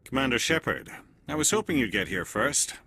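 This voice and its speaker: An actor playing a cool, probing alien male Spectre agent in a video game cutscene, speaking in a measured, insinuating way. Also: medium pitch, sing-song, pushed and bright, a little hiss or hum. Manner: measured, insinuating, slow deliberate phrasing.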